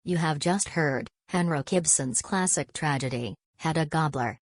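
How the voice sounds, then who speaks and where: A woman giving a calm, scripted station announcement. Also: low-pitched, a little slow, dry recording, clean studio sound.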